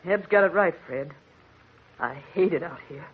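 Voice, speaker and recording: An actress playing a restless, unhappy young wife who pleads emotionally in an old radio drama. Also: female voice, fairly low pitch, normal speed, close to an everyday voice. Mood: defiant. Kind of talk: 1940s radio acting. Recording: tinny old radio with faint hiss.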